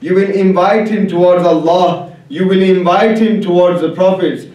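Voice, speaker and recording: Guy giving a religious sermon in a really echoey hall, high voice, slow and emphatic, repeats his phrases. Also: a little mellow. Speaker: preacher. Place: large echoing religious hall. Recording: very echoey hall, distant mic.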